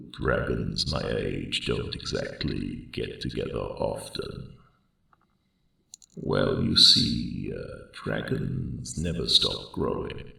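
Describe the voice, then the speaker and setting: Cavernous, gravelly ancient dragon. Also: male voice, bright, forceful tone, exaggerated voice. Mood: reflective. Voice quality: very deep, gravelly growl. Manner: rambling, genteel, old-fashioned phrasing. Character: centuries-old huge male dragon, friendly, courteous, wry guardian. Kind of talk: ASMR character roleplay monologue. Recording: roomy cave-like echo, distant.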